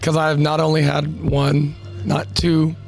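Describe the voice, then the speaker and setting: A man co-hosting a live podcast, chatting in an excitable, playful way, his voice a bit worn. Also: on the high side, unhurried, soft background music. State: worn voice after losing it the night before. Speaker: podcast co-host. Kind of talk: casual live podcast chat.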